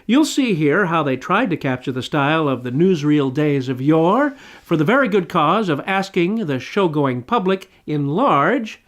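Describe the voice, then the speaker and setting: A man's voice: fairly high pitch, big pitch swings, a little slow, few pauses, a bit bright. A man hosting a film review, reading a scripted piece with florid, old-fashioned announcer phrasing. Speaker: film review show host. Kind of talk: scripted review, presented to viewers.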